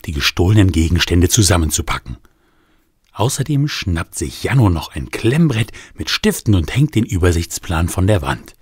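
A man with a low voice narrates a children's story with lots of melodic swing, building suspense. His storytelling is animated. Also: slightly rough, no room sound, clean studio sound.

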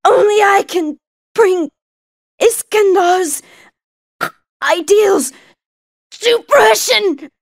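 A high-voiced, zealous lady knight. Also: extremely slow, vocal fry, long pauses, no room sound, clean studio sound, full character voice. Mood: fervent, righteous. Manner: declamatory, fervent, formal. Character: zealous, righteous female knight driven by ideals. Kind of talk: video game villain acting.

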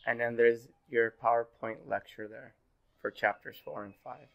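Man, 30s, instructor, slightly froggy sick voice, relaxed filler-heavy delivery, webcam lecture. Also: medium pitch, moderate pace, vocal fry, highs cut off. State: a little sick and hoarse. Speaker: university instructor. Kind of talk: pre-recorded online lecture. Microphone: webcam in corner of screen recording.

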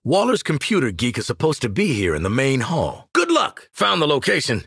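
An actor playing a cocky, gruff American marksman mercenary in a video game, blunt and sardonic, with a gravelly, husky voice. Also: medium pitch, huge pitch swings, normal speed, fairly bright tone, dry recording, studio quality, full character voice. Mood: urgent, commanding. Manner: blunt, street-tough, sardonic. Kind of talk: video game combat barks.

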